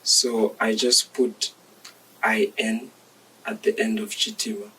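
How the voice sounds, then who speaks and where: Measured, polite young man from Zambia. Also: medium pitch, very animated, sharp tone, far from the mic, a little hiss or hum, rough recording. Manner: measured, reflective, polite with "sir". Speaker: dancer guest. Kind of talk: interview conversation.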